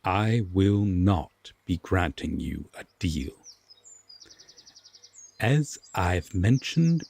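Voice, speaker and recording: A man playing an aloof, stern yet secretly tender Fae king in a roleplay audio drama, speaking formally and deliberately with long pauses. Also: fairly low pitch, gravelly, a little mellow, husky, full character voice.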